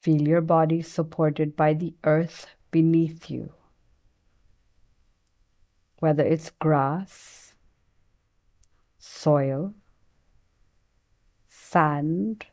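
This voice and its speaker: A woman guides a meditation in a soft, very mellow voice. She speaks very slowly, leaving long pauses between phrases. Her pitch sits a little low, and her delivery is soothing and gentle. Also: steady tone.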